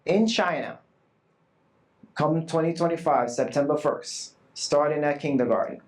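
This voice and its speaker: Earnest higher-pitched male presenter. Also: measured pace. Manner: earnest, emphatic, self-deprecating asides. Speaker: conference presenter. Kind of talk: live summit talk to an audience. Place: conference room with a live audience. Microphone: not loud at first, asked to speak louder.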